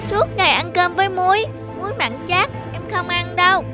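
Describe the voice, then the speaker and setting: An earnest young granddaughter from a cartoon. Her voice is high and full of affection. Music plays clearly behind her. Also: fairly quick. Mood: upset. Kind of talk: cartoon dubbing.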